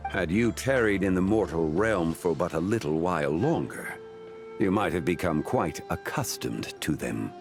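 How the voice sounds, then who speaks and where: Deep, grave ancient god. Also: male voice, animated, faint music behind. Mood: calm, instructive. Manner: measured, formal, lecturing. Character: ancient male earth god, composed, grave, scholarly. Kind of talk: scripted video game dialogue.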